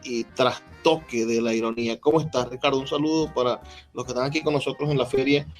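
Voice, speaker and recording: A Venezuelan man hosts a live event, introducing a guest. He speaks haltingly, searching for words, in a mid-range voice with faint music in the recording. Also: mid-range voice, steady tone. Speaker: host interviewing an author at a book presentation. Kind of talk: live spoken introduction to an audience. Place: live event at a book fair.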